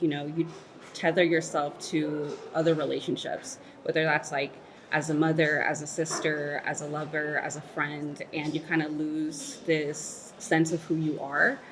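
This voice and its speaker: A woman's voice: low voice, noisy background. A woman in her thirties, born in Mexico and raised in Texas, talking thoughtfully in an interview with lots of "like" and "um" fillers. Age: 30s. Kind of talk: candid reflective interview.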